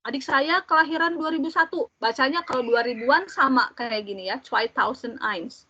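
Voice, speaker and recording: A young woman in her twenties teaching, explaining step by step and slowly repeating each example for learners. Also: high voice, bright, forceful tone, breathy edge, no echo. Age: 20s. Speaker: German language teacher. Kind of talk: slow, patient classroom explanation. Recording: dull, dry lo-fi audio.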